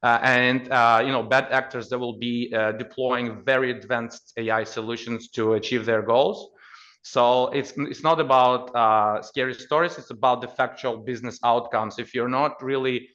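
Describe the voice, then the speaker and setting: A man with a Ukrainian accent giving conversational expert commentary as a podcast guest, in halting phrases with repeated words. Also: medium pitch, fairly even intonation, fairly bright tone, highs cut off.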